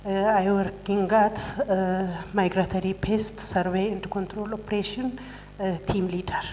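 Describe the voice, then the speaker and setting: A woman from Ethiopia who works as a team leader introduces herself hesitantly, with pauses. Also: medium pitch, level tone, dark tone, faint music behind. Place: conference hall. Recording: reverberant conference hall.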